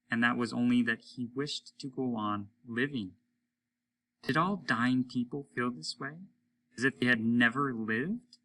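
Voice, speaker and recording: Bright, forceful man reading a story. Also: medium pitch, low-quality recording. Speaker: story reader, teacher. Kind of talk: dramatic audiobook narration.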